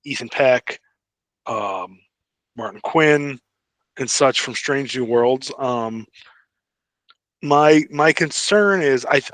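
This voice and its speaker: A man's voice: mid-range voice, fairly bright tone, dry recording. A man co-hosting a podcast, explaining details in a casual but slightly pedantic fan discussion. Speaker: podcast co-host.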